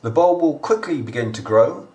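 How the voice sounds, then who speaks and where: A man's voice: medium pitch, lively intonation, normal speed, darkish tone. A man, hosting a casual gardening how-to, chatting plainly and talking straight to his viewers at home. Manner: chatty, plain-spoken, talks to viewers directly. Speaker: gardening channel host. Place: indoors at home, kitchen counter.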